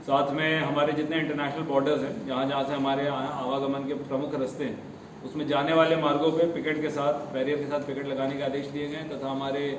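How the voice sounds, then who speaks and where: Flat-toned male official in an echoey, noisy recording. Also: on the high side, fast talker. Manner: officialese mixed with English terms. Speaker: police or administration official. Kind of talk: formal statement to press. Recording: echoey, distant mic, noisy ambience.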